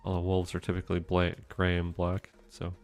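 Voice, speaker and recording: Low-voiced, soft-spoken male streamer. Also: completely flat delivery, brisk, soft background music. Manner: soft-spoken, meandering, chatty with viewers. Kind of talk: casual gameplay commentary.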